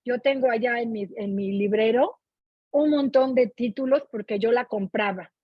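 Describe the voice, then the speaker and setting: A woman's voice: a little high, moderate pace, slightly rough. A middle-aged woman with a Mexican accent, chatting expressively about what she reads as a club participant, often checking for agreement. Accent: Mexican Spanish. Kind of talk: casual spoken book commentary. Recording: muffled, echoey online meeting audio.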